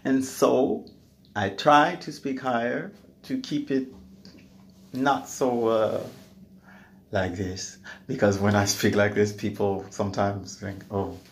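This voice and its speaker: Man, low voice, soft-spoken, slightly mumbly, halting, very slow, casual intro. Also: slight background noise. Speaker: songwriter introducing his song.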